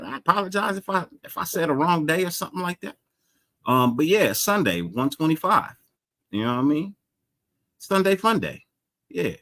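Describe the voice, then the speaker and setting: A man hosting a casual live sports podcast, greeting chat viewers by name and talking loosely with a mild stutter and repeated words. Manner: gets higher and more animated when excited. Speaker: podcast host.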